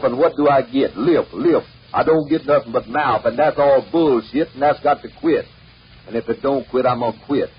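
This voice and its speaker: A man's voice: mid-range voice, highs cut off, lightly acted. A man performing a comic mock sermon as an exasperated, foul-mouthed, folksy preacher scolding his stingy flock. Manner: folksy, bombastic, cursing pulpit delivery. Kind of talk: mock sermon comedy monologue. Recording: noisy band-limited old 78rpm record.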